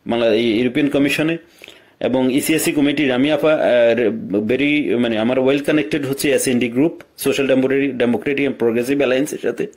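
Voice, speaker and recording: A man with a Bangladeshi accent speaking deferentially on a recorded phone call, often repeating himself and halting as he searches for words. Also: medium pitch, fairly even intonation. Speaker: political party organiser. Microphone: telephone.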